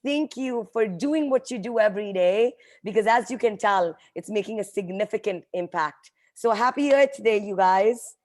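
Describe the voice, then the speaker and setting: A woman's voice: medium pitch, normal speed, few pauses, darkish tone. A woman whose native language is Hindi, giving an earnest, emphatic motivational talk to a team on a call as a guest speaker. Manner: earnest, emphatic, addresses listeners directly as a team. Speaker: guest speaker, company vice president. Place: online group call.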